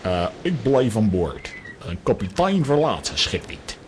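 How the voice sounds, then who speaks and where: A man's voice: fairly low pitch, big pitch swings, unhurried, a little hiss or hum. A man playing a stoic, dutiful sea captain in a children's audio drama. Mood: resolute. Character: stoic, dutiful male sailing-ship captain.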